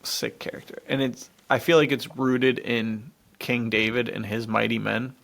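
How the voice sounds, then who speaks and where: A man co-hosting a podcast, chatting casually and excitably in slang, often breaking into a laugh. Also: mid-range voice, moderate pace, vocal fry. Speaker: podcast co-host. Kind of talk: casual enthusiastic chat about adventure fiction.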